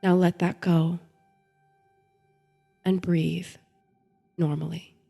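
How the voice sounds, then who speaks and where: A woman host narrating a bedtime story and guided meditation in a soothing, gentle, measured way. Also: lowish voice, little pitch movement, creaky, faint music behind.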